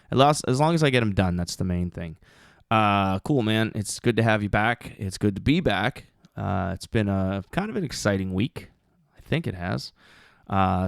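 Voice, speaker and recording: Male podcast host, low and gravelly, mousy punk voice, rambling casual delivery with lots of fillers. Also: no echo, studio quality. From Canada. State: voice still recovering from screaming at a show. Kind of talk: casual chat.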